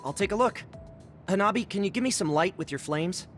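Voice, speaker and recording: A man's voice: high voice, animated, brisk, fairly bright tone. An actor playing a practical young male tech expert in an anime game, matter-of-fact. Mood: focused, matter-of-fact. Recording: music clearly behind the voice.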